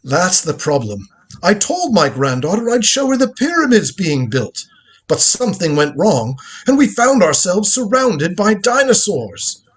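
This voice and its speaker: A man's voice: high-pitched, very animated, unhurried, husky, heavily acted. A man playing a crotchety, proud old grandfather and time-travelling inventor in a live radio drama reading, with an imperious, lecturing manner. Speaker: lead actor in a live script reading.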